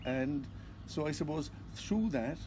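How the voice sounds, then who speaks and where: A man with an Irish accent, a psychotherapist answering interview questions in a calm, measured way. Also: fairly high pitch, background noise. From Ireland. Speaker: psychotherapist and constellations facilitator.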